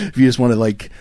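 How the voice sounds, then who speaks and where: A man co-hosting a podcast with a baritone voice, laid-back and chatty, often laughing. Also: mellow, no room sound. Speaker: podcast co-host. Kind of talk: casual comedic chat.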